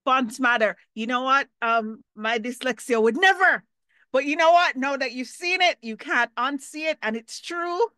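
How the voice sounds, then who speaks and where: A woman's voice: medium pitch, fairly bright tone, no room sound. A woman hosting an online club meeting over a video call, playful and joking between speakers. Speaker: meeting host.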